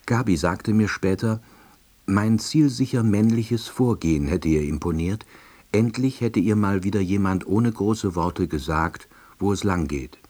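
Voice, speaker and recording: Low, husky, earnest narrator. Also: male voice, measured pace, dark tone. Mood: wry, earnest. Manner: dry, wordy, officialese. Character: earnest, awkward, self-important salesman narrating his life. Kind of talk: first-person radio drama narration.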